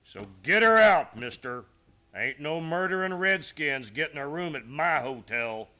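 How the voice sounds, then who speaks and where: An actor playing a hostile, blustering but cowardly frontier hotel keeper in a western radio play. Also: male voice, fairly high pitch, animated, measured pace, bright, forceful tone.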